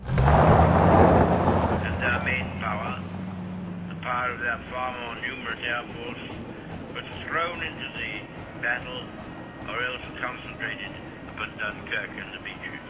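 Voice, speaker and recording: A man giving a weighty 1940s wartime speech in oratorical, halting phrases, on an old archive recording. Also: medium pitch, dull audio, heavy background noise.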